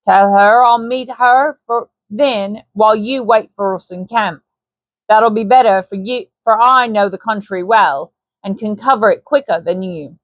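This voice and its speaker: A scheming Cockney hunter and trader, voiced by a woman reading aloud, coaxing and ungrammatical in his talk. He sounds bold and a touch high. The voice sits close and dry, with no room around it. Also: a little slow, dry recording, lightly acted, rough recording. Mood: wheedling. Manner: sly, persuasive, ungrammatical.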